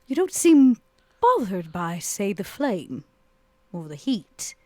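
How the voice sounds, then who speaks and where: A woman roleplaying a character in a tabletop game, asking measured, probing questions. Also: mid-range voice, sing-song, warm tone, no room sound. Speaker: player.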